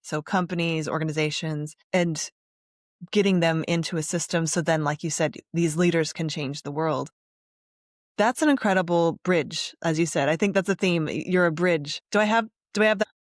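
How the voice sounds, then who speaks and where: A woman hosting a podcast interview, reflecting at length and summing up her guest's points in a relaxed, conversational way. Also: sits low, normal speed, dry recording, clean studio sound. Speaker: podcast host.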